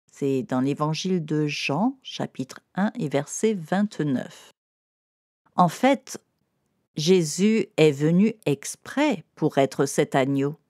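A woman narrating a scripted Bible lesson for children, explaining in simple, deliberate phrases. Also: fairly low pitch, a little mellow, no echo, studio quality.

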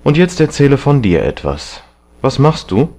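A man plays a callous young gentleman from a literary novel. The voice is lifted and emphatic, with a haughty, defiant edge as he brushes reproach aside. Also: medium pitch, very animated, moderate pace, voice pushed up. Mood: airy, indifferent.

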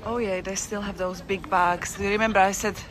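A woman's voice: mid-range voice, fairly even intonation, fairly quick, a crowd in the background, poor audio. A woman vlogging while walking through an outdoor city market, chatting casually, rambling and correcting herself, often laughing. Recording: outdoor street with traffic noise. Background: traffic and street bustle.

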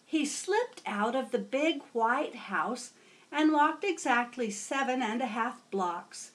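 Middle-aged woman, children's read-aloud, higher voice, animated intonation, measured storytelling. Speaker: storybook reader. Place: small home room with bookshelves.

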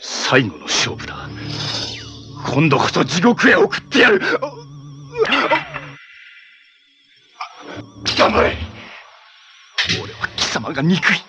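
A man's voice: a little high, huge pitch swings, takes it slowly, long pauses, exaggerated voice. A man playing a haughty armored villain from a tokusatsu TV show, heir to an evil empire, making grandiose, commanding, theatrical declarations. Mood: hateful. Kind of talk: Japanese tokusatsu TV villain acting. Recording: clip from 1980s TV with music behind.

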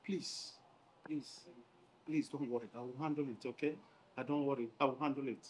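An actor playing a married man who calmly pleads with his angry lover, speaking softly and repeating reassurances. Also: high-pitched, moderate pace, a little hiss or hum, poor audio. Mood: pleading. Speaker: chief, mother's lover.

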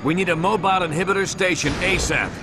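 An actor playing a tough, determined lieutenant in a video game, with terse, intense military speech. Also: male voice, high voice, fast, noisy background, lightly acted. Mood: urgent. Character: tough, determined male soldier, a lieutenant in battle. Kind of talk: dramatic video game voice acting.

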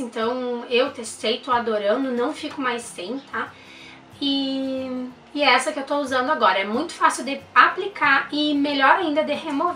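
A woman's voice: sits high, sharp tone, reverberant, distant mic. A young woman, reviewing baby products in a chatty, enthusiastic way and using lots of diminutives. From Brazil. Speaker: mom vlogger. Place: indoor room.

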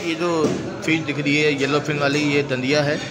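A man's voice: a little high, little pitch movement, brisk. A man with a Pakistani Urdu accent, filming a fish market on a handheld phone, explaining each fish and calling out to sellers for prices. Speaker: market vlogger. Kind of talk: walk-through market vlog. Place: busy indoor fish market. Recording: loud crowd noise behind. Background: loud market crowd.

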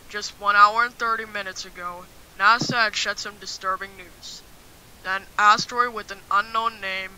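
A man playing an emotional radio newscaster facing doomsday, earnest and halting, in an amateur acted news bulletin with hiss. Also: high voice, sharp tone, poor audio.

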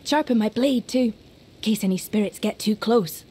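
A woman's voice: mid-range voice, normal speed, mellow, husky, close to an everyday voice. An actress playing a capable, practical young hill woman, a plucky daughter in a video game. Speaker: game character.

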